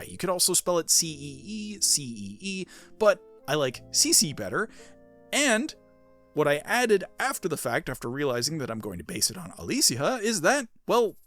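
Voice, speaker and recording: Game streamer, casual commentary, very animated pitch swings, faint music behind. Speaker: video game streamer voicing characters.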